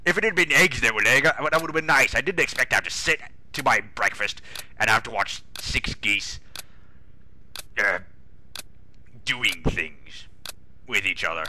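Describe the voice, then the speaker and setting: A man's voice: medium pitch, lively intonation, moderate pace, long pauses, pushed and bright, slight background noise, pitched above the performer's own voice. A man voicing a pompous, exasperated older railway boss with a British accent, grumbling indignantly in a comic dramatic reading.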